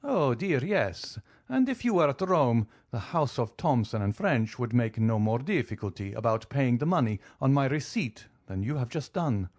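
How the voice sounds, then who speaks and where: A man's voice: medium pitch, big pitch swings, moderate pace, hardly any pauses, a little mellow, voice pushed up. A man voicing a suave, wealthy count who speaks in a polished, teasing, formal way. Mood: cool, composed. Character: suave wealthy male count, coolly ironic.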